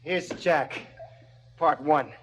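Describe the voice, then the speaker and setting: A man's voice: on the high side, drawn-out words, noisy background. An actor playing a dim, obedient henchman in a radio drama, hesitant and deferential. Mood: hesitant, eager. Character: dim, obedient henchman who hauls the bodies. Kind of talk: 1970s radio drama acting.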